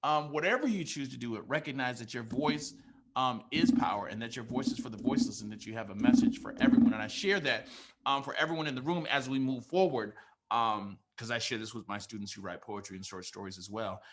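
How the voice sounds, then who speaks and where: A young man hosting the event and teaching, giving energetic off-the-cuff remarks with casual slang and bursts of enthusiasm. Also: medium pitch, fairly quick. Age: young adult.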